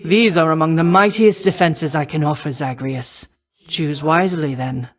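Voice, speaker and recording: A woman playing a noble, protective war goddess in a video game, speaking in formal, measured, old-fashioned phrasing. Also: deep voice. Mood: composed, encouraging. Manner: formal, measured, archaic stately diction. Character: noble female war goddess, poised, protective, confident mentor. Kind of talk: scripted video game voice acting.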